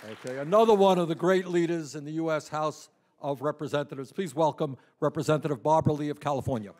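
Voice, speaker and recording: A man introducing speakers at a rally in a measured, formal way. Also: fairly high pitch, a little mellow, a faint crowd, a little hiss or hum. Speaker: event emcee introducing speakers.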